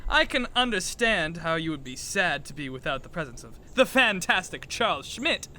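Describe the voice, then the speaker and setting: A self-regarding audio-drama adventurer, acted by a woman, with a theatrical, grand delivery. The voice is bright and lively, rising and falling freely. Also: fairly low pitch, moderate pace, a little hiss or hum. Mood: breezy, grand.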